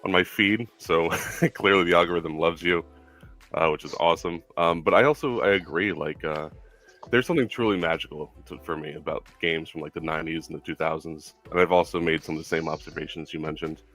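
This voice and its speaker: A young man hosts a livestream Q&A in a relaxed, easygoing way, filling his pauses with ums and likes. His voice is very low, and faint music plays in the background. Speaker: stream host. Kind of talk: casual stream Q&A.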